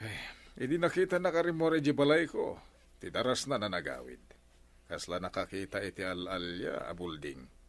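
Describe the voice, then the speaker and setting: A man's voice: sits low, expressive, normal speed. A man playing a flustered, blustering village captain in an Ilocano radio comedy, with a stammering, hesitant delivery and an Ilocano accent. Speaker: comedy drama actor. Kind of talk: Philippine radio comedy drama acting.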